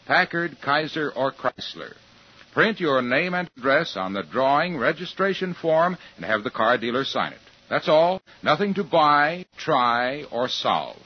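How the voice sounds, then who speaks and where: A man's voice: on the high side, husky. An American man reading advertising as a commercial announcer in a 1950s radio style. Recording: muffled 1950s radio recording with music.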